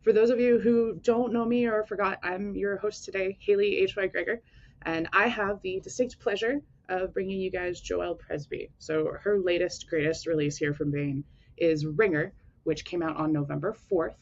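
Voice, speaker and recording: A woman's voice: mid-range voice, steady tone, normal speed. Chatty woman host, dull lo-fi sound. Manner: upbeat, chatty, laughs easily. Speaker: podcast host and interviewer. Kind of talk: casual interview.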